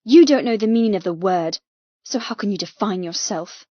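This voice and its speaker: A woman's voice: medium pitch, normal speed, dry recording, lightly acted. A woman plays a defiant young female captive in an audiobook drama. She is bold and provoking, pushing her words out with heated emphasis. The recording is dry and a little muffled. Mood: angry defiance.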